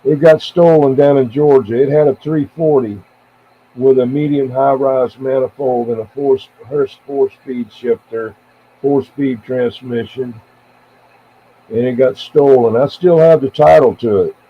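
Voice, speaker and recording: Casting: American man in his seventies, average pitch, casual halting CB chatter, thin narrow-band audio with slight noise. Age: 70s. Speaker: CB radio operator and live streamer. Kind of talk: casual rambling radio chat. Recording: tinny band-limited radio audio with faint noise.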